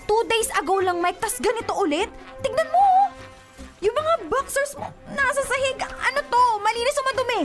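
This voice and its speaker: A woman acts out a young Filipino girlfriend in a radio drama, whiny and exasperated. Her voice is extremely high and nags away over faint music. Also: expressive, moderate pace. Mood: exasperated scolding. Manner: whiny, scolding.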